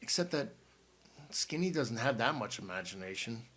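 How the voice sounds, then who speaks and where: A man's voice: medium pitch, big pitch swings, normal speed, fairly bright tone, husky. A man reading an audiobook and voicing a bookish teenage boy who leads the group and speaks in formal, precise words.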